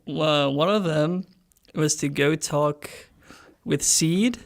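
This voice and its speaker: A man with a slightly high voice tells his game character's story at a very unhurried pace. His delivery is casual and hesitant, with pauses while he hunts for the right word. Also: creaky. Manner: hesitant, pausing for words. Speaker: tabletop game podcast player.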